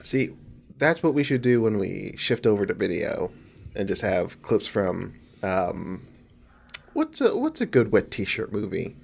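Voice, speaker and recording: A man's voice: lowish voice, big pitch swings, a little slow, creaky, slightly rough. A man co-hosting a casual film podcast, sniffly from allergies, who rambles and corrects himself with jokes. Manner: rambling, self-correcting, jokey. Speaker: podcast co-host.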